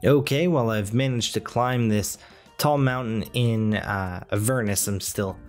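A man's voice: on the low side, a little slow, faint music behind. A man hosting a podcast, reading a sponsor message in a playful in-character style. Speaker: podcast host.

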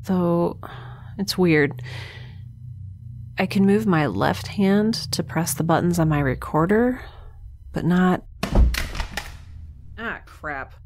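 A woman's voice: fairly low pitch, animated, slow, pauses often, a little mellow, slight background noise. An actress playing a sarcastic young woman stranded in space, recording an audio log. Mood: wry. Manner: wry, sarcastic. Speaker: narrator of her audio log. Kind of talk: audio drama acting.

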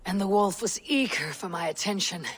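A woman voicing a proud, defiant young female Viking warrior in a video game, speaking gravely in formal, deliberate phrases. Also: medium pitch, lightly acted. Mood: troubled, recalling. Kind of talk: dramatic video game cutscene acting.

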